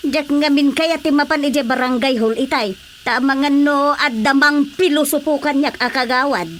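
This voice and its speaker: A woman's voice: high-pitched, steady tone, moderate pace, fairly bright tone, slight background noise. An actress with an Ilocano Filipino accent playing an indignant, nosy village woman who complains and exclaims, in a radio drama comedy. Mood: agitated.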